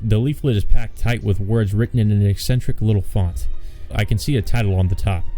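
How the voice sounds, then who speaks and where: A man with a lowish, very mellow voice reads a visual novel aloud. He drops in wry, offhand remarks as he plays, and faint music runs underneath. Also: a little fast, rough recording. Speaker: let's player.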